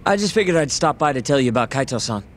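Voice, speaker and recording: A man voices an easygoing friend in a video game, chatting loosely at a middling pitch. Faint hiss sits under him. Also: animated, quick pace, close to an everyday voice. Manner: casual, laid-back. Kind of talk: video game dub acting.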